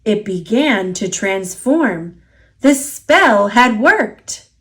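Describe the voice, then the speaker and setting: A woman reading a children's picture book aloud as a storyteller, expressive and deliberate, drawing out key words. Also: mid-range voice. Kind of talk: children's story read-aloud, scripted narration.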